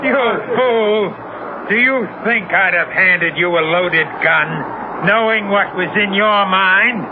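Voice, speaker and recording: An actor playing a fearsome, jealous old sea captain in a 1970s radio drama, with a commanding, theatrical, archaic way of speaking. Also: male voice, sits high, takes it slowly, phone-quality sound. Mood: savage fury. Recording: noisy, band-limited 1970s radio broadcast recording.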